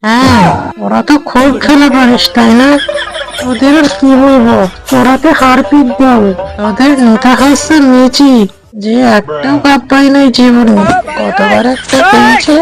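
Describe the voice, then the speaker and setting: A woman's voice: sits high, fairly even intonation, a little slow, gravelly, music in the background, poor audio. A woman voicing a taunting, combative fox co-wife in a cartoon. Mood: mocking. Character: taunting, easily hurt fox co-wife, rival football fan. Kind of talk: Bengali cartoon voice acting.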